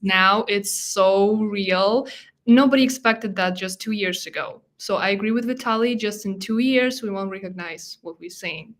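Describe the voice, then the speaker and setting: A woman's voice: mid-range voice, moderate pace. A woman hosting an online panel over a video call, friendly, with frequent "you know". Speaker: host.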